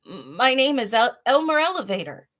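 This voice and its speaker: A woman's voice: on the high side, sing-song, normal speed, fairly bright tone, pitched above the performer's own voice. A polite young boy from a children's storybook, as a woman reader plays him. He is earnest and resourceful, pleading his case courteously in a lifted voice. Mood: nervous. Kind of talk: children's storybook read-aloud.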